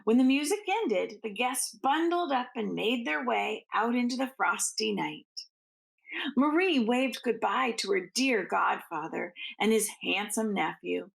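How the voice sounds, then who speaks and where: A woman reading a children's story aloud like a teacher, expressively, with drawn-out phrasing and hushed asides. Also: on the high side, animated, creaky, poor audio.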